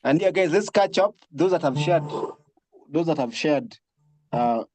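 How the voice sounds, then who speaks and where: A man hosting a casual group video call, speaking haltingly and often repeating his words. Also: a little high, lively intonation, a little mellow, no room sound, soft background music. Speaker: host of an online film session.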